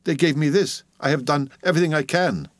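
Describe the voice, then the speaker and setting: A man's voice: on the high side, brisk, no echo, voice pushed up, natural voice. Man acting a desperate, breathless pleading husband, voice raised. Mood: frantic. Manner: stammering, pleading. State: breathless, agitated. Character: desperate, pleading, impoverished big immigrant husband, frantic for his wife.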